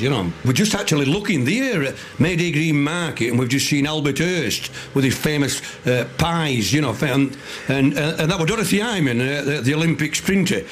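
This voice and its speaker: An older man with a South Yorkshire accent, a loud and jovial guest chatting in a radio interview. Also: a little high, a little hiss or hum. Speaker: guest, songwriter and filmmaker. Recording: music playing behind.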